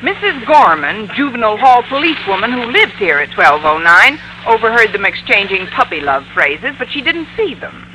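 Wry english teacher, old radio sitcom, voice swoops all over, noisy old recording. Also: female voice, a little high, very animated, moderate pace, hardly any pauses, pushed and bright. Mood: explaining. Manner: wry, mock-romantic delivery. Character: wry, dry-witted female high school English teacher. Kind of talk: 1950s radio sitcom acting.

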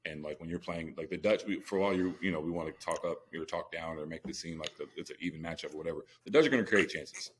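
A man with an extremely deep voice talks quickly as a sports podcast co-host. He is opinionated and slangy, and there is faint noise behind him. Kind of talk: casual sports debate.

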